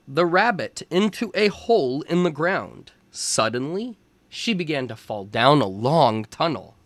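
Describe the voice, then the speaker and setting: A man reading a storybook aloud as a teacher for young children, in deliberate phrases. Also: mid-range voice, fairly bright tone, no echo.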